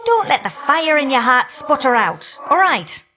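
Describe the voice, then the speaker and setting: Fiery older goddess for a game, cheeky and folksy, teases you fondly. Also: female voice, high-pitched, very animated, measured pace, pushed and bright, a little hiss or hum, heavily acted. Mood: cheeky, affectionate. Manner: folksy, teasing, affectionate endearments and colloquial turns of phrase. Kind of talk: video game character voice acting.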